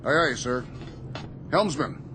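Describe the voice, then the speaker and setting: An actor playing a dutiful navy bridge officer in a radio drama, relaying orders in a crisp, formal, projected way. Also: male voice, mid-range voice, animated, slow, background noise. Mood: brisk, formal.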